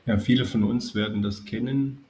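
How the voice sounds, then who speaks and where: A man with a cold, addressing his viewers in a calm, chatty way as he narrates a hobby video. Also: lowish voice, moderate pace, a little hiss or hum. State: has a cold. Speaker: model railway YouTuber. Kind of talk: casual spoken video narration.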